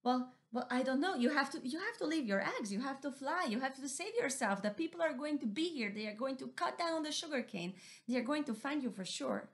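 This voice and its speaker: A quick, clever little mouse deer from a folk storybook, as a woman storyteller puts him on, his voice pitched well above a normal talking register. he is earnest and eager, even when he is rushing to warn a friend. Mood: urgent.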